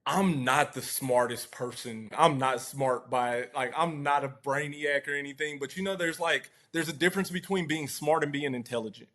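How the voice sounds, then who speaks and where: A man giving conversational interview answers as a guest, in a halting, thoughtful and self-effacing manner. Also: fairly high pitch, a bit bright. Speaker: interview guest, car dealership internet director.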